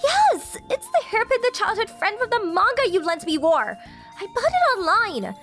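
A woman's voice: very high voice, huge pitch swings, normal speed, fairly bright tone, faint music behind, exaggerated voice. A woman voicing a clingy, jealous, lovestruck teenage girl in an anime-style romantic comedy dub, with emotional, dramatic delivery. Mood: jealous, frantic.

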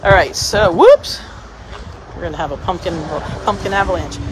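A woman's voice: on the low side, normal speed. A middle-aged woman hosting a casual on-camera vlog, chattily asking questions in an informal, exclamatory way. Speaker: cooking vlog host interviewing a farmer. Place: open-sided farm market and sunny parking lot outside. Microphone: phone at arm's length. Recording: clear outdoor ambient noise.

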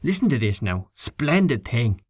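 A man voicing a loud, blustering canvasser who argues with forceful emphasis, in an audiobook reading. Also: mid-range voice, very animated, measured pace, slightly rough, no room sound. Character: blustering middle-aged Irish political canvasser. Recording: dry close studio read.

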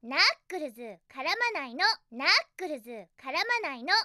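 A woman's voice: high voice, animated, fairly quick, sharp tone, no echo, studio quality. A woman voicing a feisty young female hedgehog heroine in a Japanese video game, with a girlish, emphatic, bossy delivery. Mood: annoyed, scolding.

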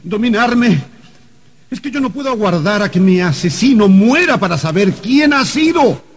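An actor playing an outraged, whining murdered gentleman in a radio drama, melodramatic and indignant. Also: sits high, expressive, moderate pace. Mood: impatient. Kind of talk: Spanish-language radio drama acting.

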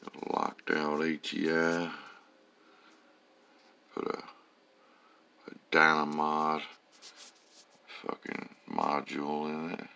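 A man with an extremely low voice that has a gravelly fry to it. He talks slowly and casually, like a hobby mechanic walking through his work in the garage. His way of speaking is folksy and plain, peppered with swear words. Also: level tone, bright, forceful tone. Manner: profane, folksy, plain-spoken. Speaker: amateur engine builder and vlogger. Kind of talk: casual hands-on garage walkthrough. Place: indoor garage or workshop with concrete floor.

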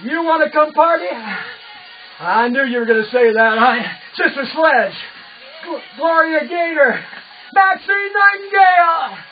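A man hosts a music radio show as a flamboyant showman, with a very high-pitched delivery. He stretches his words and keeps the patter playful and energetic. The sound is muffled and distant, captured across a studio with music playing. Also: unhurried. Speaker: radio disc jockey. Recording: dull, distant camera sound.

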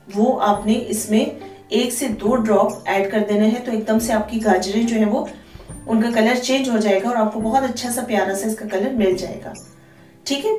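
A woman's voice: on the high side, darkish tone. Chatty woman cooking host, echoey. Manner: friendly, chatty instructions with frequent "theek hai ji". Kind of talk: cooking tutorial voice-over. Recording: echoey, distant voice-over with faint music.

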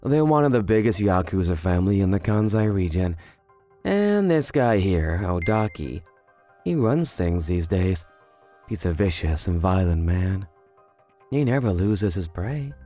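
A man voicing a cool, shrewd underworld informant in a manga dub, speaking in a measured, businesslike way. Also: fairly low pitch, a little mellow. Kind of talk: animated manga dub dialogue.

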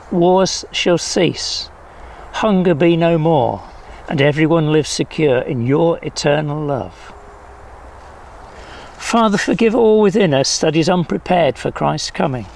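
An older man solemnly reading prayers at a church service in measured phrases, recorded outdoors. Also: a little high, gravelly. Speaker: prayer leader. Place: outdoors in a leafy field or garden. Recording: faint outdoor background noise.

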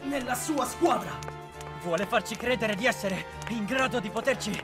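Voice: mid-range voice, moderate pace, natural voice. Actor as a hot-headed young anime soccer player. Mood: indignant. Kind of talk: anime fandub acting. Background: very loud soundtrack music.

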